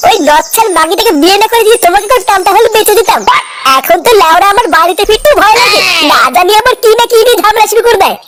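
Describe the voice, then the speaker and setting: A performer voicing an aggrieved, foul-mouthed henpecked village husband in a comedy cartoon, whining in a cartoonishly high voice. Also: fast, very noisy. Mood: bitter.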